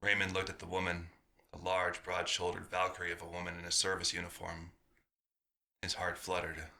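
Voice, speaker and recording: A man's voice: sits low, monotone, normal speed, vocal fry, bright, forceful tone, heavily acted. A man narrating a scripted story in the third person.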